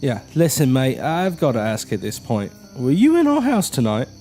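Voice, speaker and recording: A man's voice: mid-range voice, wildly swooping pitch, moderate pace, warm tone, soft background music. An actor playing a brash, skeptical young man in a horror audio drama, blunt, slangy and sarcastic. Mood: accusing.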